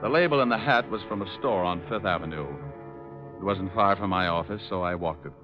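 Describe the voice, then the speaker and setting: A man's voice: deep voice, fairly quick, close to an everyday voice. An actor playing a tough, wisecracking male private detective, wry and breezy, in 1940s radio style. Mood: wry storytelling. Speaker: lead actor and first-person narrator. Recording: dull vintage radio recording with music.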